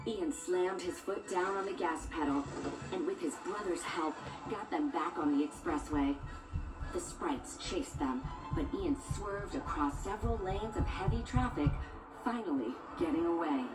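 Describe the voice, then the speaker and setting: Female storybook narrator for children, lowish voice, scripted read, music underneath. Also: unhurried. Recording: echoey, distant, music behind.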